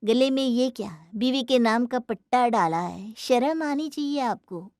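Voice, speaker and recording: A woman's voice: on the high side, unhurried, a little mellow, no room sound, clean studio sound, natural voice. A woman voicing a nosy, mocking bus passenger with a sarcastic, drawling manner in a cartoon story. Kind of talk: dramatised domestic cartoon story.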